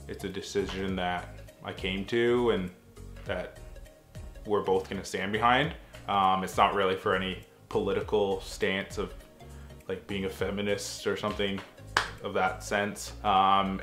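Young male vlogger, slightly nasal with a cold, low voice, echoey room, faint music behind. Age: young adult. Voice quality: a little nasal. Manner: relaxed, many ums and restarts. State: getting a cold. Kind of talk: casual chat to camera. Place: home living room, indoors.

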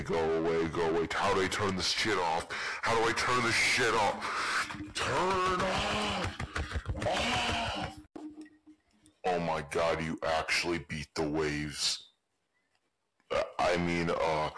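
A man's voice: sits low, sharp tone, a little hiss or hum, exaggerated voice, low-quality recording. A man voicing an awkward, dismissive mad doctor who rambles hesitantly, in amateur game character lines. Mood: irritated, then flustered.

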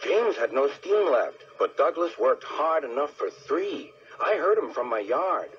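A man's voice: on the high side, very animated, a little slow, fairly bright tone, highs cut off, slight background noise, voice pushed up. A man voicing an earnest, kindly male steam engine in a children's story.

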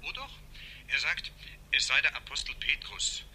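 Sharp, bright-voiced male nurse. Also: medium pitch, sing-song, moderate pace, husky, full character voice. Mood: clinical, reporting. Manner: clinical, precise reporting. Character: dutiful male psychiatric nurse, clinical and obedient. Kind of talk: 1960s German radio crime drama acting.

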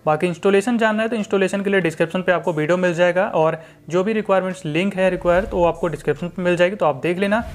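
Fast-talking, high-pitched young Indian man. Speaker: tech reviewer, channel host. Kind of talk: hands-on smartphone software review narration.

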